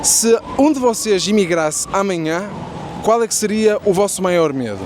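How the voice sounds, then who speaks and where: A man's voice: sits high, expressive, moderate pace, noisy background. A young Portuguese man with a European Portuguese accent, casually interviewing strangers on a busy city street with lots of fillers. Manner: chatty, friendly, many fillers and restarts. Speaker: street interviewer and content creator.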